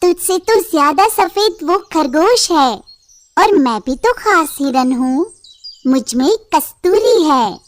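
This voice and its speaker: Brief: female performer for a clever, proud doe in an animal fable; high, lively intonation, outspoken. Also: slow pace. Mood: defiant. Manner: challenging, outspoken. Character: proud, clever, kind female musk deer. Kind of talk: animated animal moral story.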